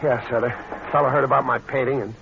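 Man playing a smooth scheming painter, muffled old radio. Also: mid-range voice, steady tone, fast, noisy background, close to an everyday voice. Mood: smooth, flirtatious. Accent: American. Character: smooth-talking scheming portrait painter, flirtatious and hard-edged. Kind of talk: 1940s radio drama acting.

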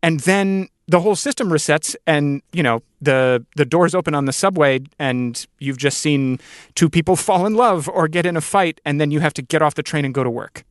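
A man speaks in a somewhat high voice, recorded close and dry. He is a podcast interviewer, talking in an earnest, reflective way as he thinks through his ideas aloud. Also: normal speed, no echo. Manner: earnest, thoughtful, musing.

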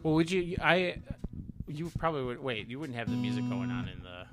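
A male podcast co-host chats casually in a mid-pitched voice. His delivery is informal and halting, full of slangy, loose phrasing. A guitar plays faintly behind him. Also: sing-song.

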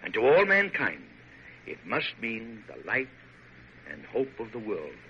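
An actor in a vintage radio drama playing a dignified, visionary American president, grand and declamatory, quick to exasperation. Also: male voice, lowish voice, wildly swooping pitch. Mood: dreamy, proud. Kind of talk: 1940s radio drama acting. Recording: noisy, muffled old radio broadcast.

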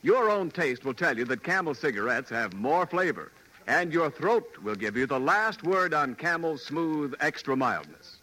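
A man's voice: fairly high pitch, very animated, moderate pace, sharp tone, poor audio. A man announcing in 1940s radio commercial style with a polished, emphatic sales delivery. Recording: vintage radio broadcast, faint music.

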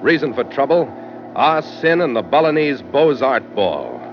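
An actor playing a tough, wry sea captain in a 1940s radio drama, with a clipped, hard-boiled delivery. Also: male voice, mid-range voice, very animated, measured pace, very noisy. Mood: brisk, grave. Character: tough, wry seafaring captain narrating his log. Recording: muffled old radio recording.

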